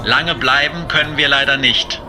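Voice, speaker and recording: An actor playing a composed, official Soviet tour leader, addressing a group formally and measuredly in a radio play. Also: male voice, mid-range voice, fairly even intonation, moderate pace, over music, lightly acted. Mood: reassuring.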